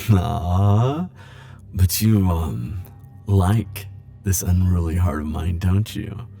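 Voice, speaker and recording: A man performs a lovestruck immortal in an intimate ASMR romance. His voice is soft-edged, mellow and on the low side, unfolding very slowly in tender, yearning, hesitant phrases. Faint music plays beneath his breathy pleading. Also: exaggerated voice. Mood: smitten, flirtatious.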